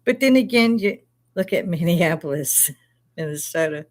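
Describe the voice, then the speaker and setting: A woman's voice: fairly low pitch, animated, moderate pace. Laughing, rambling woman with a Chicago accent. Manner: laughs often, swears freely, rambling anecdotes. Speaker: live stream host. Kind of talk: casual storytelling chat.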